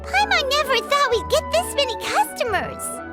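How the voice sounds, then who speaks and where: A woman voicing a small, excitable, childlike fairy companion with a squeaky voice in a video game cutscene. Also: normal speed. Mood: excited. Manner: refers to self in third person, exclaiming. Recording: music under the voice. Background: prominent childlike background music.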